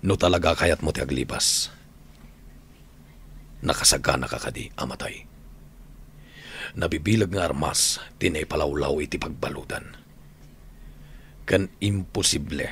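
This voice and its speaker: A man acting an older prisoner in an Ilocano radio drama, calmly and measuredly counselling a younger inmate. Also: extremely low pitch, measured pace, pauses often, a little hiss or hum, heavily acted. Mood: grave, calming. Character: older male prisoner, calm fatherly advisor to younger inmate.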